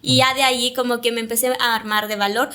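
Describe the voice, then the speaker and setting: Woman, young adult, Maya speaker, hesitant, reflective, fairly high pitch. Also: flat delivery, fast talker, pushed and bright. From Mayapán, Yucatán, Mexico. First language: Yucatec Maya. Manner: reflective, hesitant, many fillers. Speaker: guest, communicator. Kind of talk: casual interview conversation.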